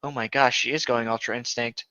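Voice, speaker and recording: Man, bright edgy voice, story reading, casual asides, dry, somewhat lo-fi recording. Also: mid-range voice, fairly even intonation, fairly quick, clear, resonant tone, dry recording. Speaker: co-host.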